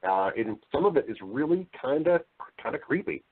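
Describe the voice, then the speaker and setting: Male interview guest, mid-pitched voice, chatty and conversational, voiceover professional talking about his work. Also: very animated, moderate pace, husky, narrow, tinny audio.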